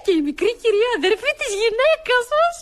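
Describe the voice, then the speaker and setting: A woman playing a scatterbrained, cheeky young housemaid in a stage farce, animated and exclamatory, often repeating herself. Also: very high-pitched. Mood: indignant, gossipy. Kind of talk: theatrical stage comedy acting.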